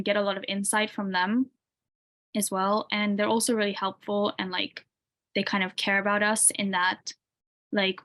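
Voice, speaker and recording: A woman in her twenties, a university student, answering interview questions casually with lots of "like" and "um". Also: medium pitch. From UK, grew up in Tanzania. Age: 20s. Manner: relaxed, many "like" and "um" fillers.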